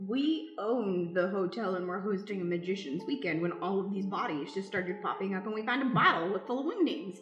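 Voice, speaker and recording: A woman's voice: mid-range voice, lively intonation, brisk, few pauses, highs cut off, close to an everyday voice. A woman voicing a flustered, sincere hotel owner in an improvised comedic radio play. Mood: baffled. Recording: roomy echo with music underneath.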